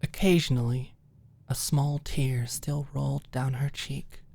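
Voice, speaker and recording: Female audiobook narrator for scripted ASMR fiction: low register with gravelly fry, unhurried delivery. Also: steady tone, warm tone.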